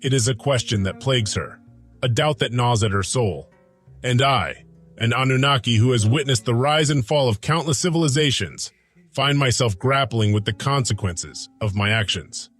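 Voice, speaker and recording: A man voicing a brooding, lovestruck ancient god in a dramatic audiodrama monologue, speaking gravely and formally in measured phrases with weighty pauses. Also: mid-range voice, fairly bright tone, close to an everyday voice. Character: lovestruck, brooding Anunnaki god, solemn and defiant. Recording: music behind the voice.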